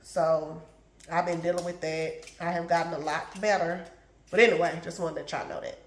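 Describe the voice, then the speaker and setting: A woman's voice: lowish voice, steady tone, moderate pace, reverberant. A middle-aged woman vlogger chatting casually while she cooks in her home kitchen, sounding congested and calling her viewers y'all and baby. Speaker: lifestyle vlogger. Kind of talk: casual chat while cooking.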